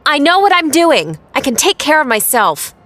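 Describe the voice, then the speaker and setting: Headstrong career woman for audio drama: high, animated, curt, sarcastic, close and dry. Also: moderate pace, no echo. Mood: irritated, defiant. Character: headstrong, sharp-tongued independent working woman.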